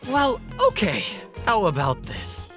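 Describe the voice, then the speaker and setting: A man's voice: high-pitched, wildly swooping pitch, slow pace, vocal fry, a bit bright, soft background music, exaggerated voice. A man voicing a hapless, broke young man lost in another world, dramatic and self-pitying, in an anime parody dub. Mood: baffled.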